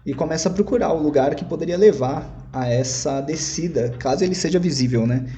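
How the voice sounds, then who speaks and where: A man with a mellow voice narrates his actions in a tabletop roleplaying game. He is wordy and articulate, often refining his phrasing, with quiet music behind him. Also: a little high, a little fast. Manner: wordy, self-correcting, articulate. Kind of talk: improvised first-person game narration.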